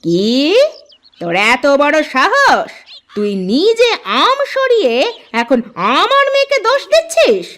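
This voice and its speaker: A woman's voice: very high voice, huge pitch swings, measured pace, exaggerated voice. A woman voicing a harsh, scheming stepmother in a cartoon, with a scolding manner. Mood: furious. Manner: sharp, scolding. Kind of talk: cartoon dialogue acting.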